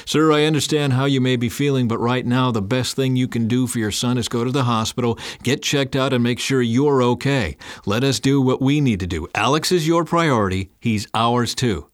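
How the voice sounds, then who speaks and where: A man's voice: mid-range voice, moderate pace, hardly any pauses, no room sound, clean studio sound, pitched above the performer's own voice, natural voice. A man voicing a firm but respectful paramedic in an audiobook, speaking with courteous urgency. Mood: firm, urgent. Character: firm, respectful male paramedic at a crash scene.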